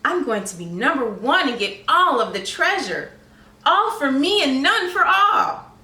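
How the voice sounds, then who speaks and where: Woman as gleeful greedy male pig, storybook character, bright, swooping, gloating exclamations, slightly distant mic. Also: high voice, big pitch swings, a little slow, exaggerated voice. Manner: exclaiming, gloating, stretched-out words. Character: greedy, gleeful male hog who wants all the treasure. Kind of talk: storybook read-aloud. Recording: roomy, echoey, slightly distant microphone.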